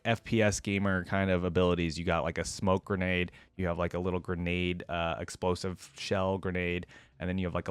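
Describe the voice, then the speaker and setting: Low-voiced man reacting casually, dry close sound. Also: clean tone, no echo. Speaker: reaction video host. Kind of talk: casual reaction commentary, reading game text aloud.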